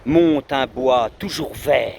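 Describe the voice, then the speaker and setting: Wind buffets the microphone and the sea rumbles around a man reciting verse. His delivery is slow and solemn, with a declamatory weight and long dramatic pauses between phrases. His voice sits at an ordinary middle pitch for a man. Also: creaky. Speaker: poetry reciter. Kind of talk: outdoor recitation of a 19th-century poem. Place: outdoors under trees on a windy seashore. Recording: loud wind buffeting the mic, roaring sea.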